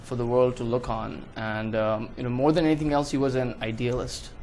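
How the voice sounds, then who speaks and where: A man's voice: mid-range voice, fairly even intonation, a little fast, a little mellow. Young guy from india, earnest, lots of "you know", bit of hiss behind. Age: young adult. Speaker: guest. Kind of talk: casual interview talk.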